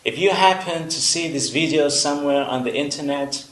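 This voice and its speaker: A man from Vietnam with a Vietnamese accent, a native Vietnamese speaker, teaching an English lesson in a deliberate, explanatory way with pauses between phrases. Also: fairly high pitch, a bit bright. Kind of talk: instructional video lesson. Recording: roomy, echoing room sound.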